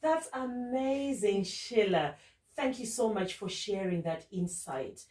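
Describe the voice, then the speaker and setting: A woman host with a mellow, warm tone draws out her guest and sums up the guest's points in an encouraging way. The recording is echoey, and she sits some distance from the mic. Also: medium pitch, lively intonation, takes it slowly. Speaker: podcast host, interviewer. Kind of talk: interview.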